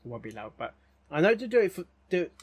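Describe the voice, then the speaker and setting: A man giving casual, rambling reaction commentary as a host, haltingly, often repeating words and phrases. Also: high-pitched, very animated, breathy edge, no echo.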